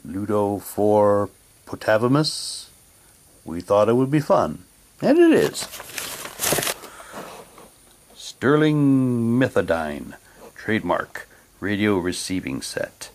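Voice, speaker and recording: A man doing a casual unboxing, talking in a halting way and reading packaging text aloud like a hammy radio announcer. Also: lowish voice, sing-song, darkish tone. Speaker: vlogger unboxing a collectible audio drama CD.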